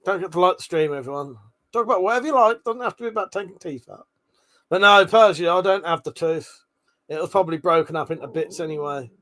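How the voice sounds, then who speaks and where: A man's voice: sits high, fast talker, bright, forceful tone. A British man hosting a livestream, chatting casually in an informal, rambling, sweary way as he reads and answers viewer comments. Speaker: livestream host.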